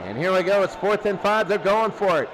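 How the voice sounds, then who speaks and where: Male football commentator, high register, excitable and conversational, noisy recording. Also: a little fast. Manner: excitable, conversational asides between plays. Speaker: play-by-play commentator of a simulated football tournament. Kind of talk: live American football radio-style commentary.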